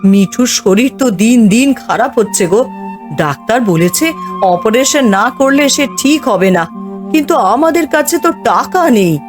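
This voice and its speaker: A worried, nagging farmer's wife from a cartoon, played by a woman. Her voice is on the high side, urging and reproachful, over faint music. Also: expressive, normal speed, natural voice. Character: nagging, worried farmer's wife, sharp-tongued yet practical.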